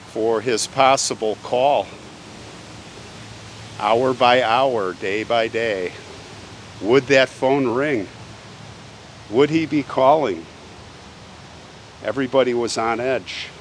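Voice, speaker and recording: A man's voice: mid-range voice, a bit bright, slight background noise. A man telling a story on location as a video host, in a theatrical, suspenseful way, repeating phrases for effect. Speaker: host of a cemetery history video channel. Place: outdoors, walking in a cemetery.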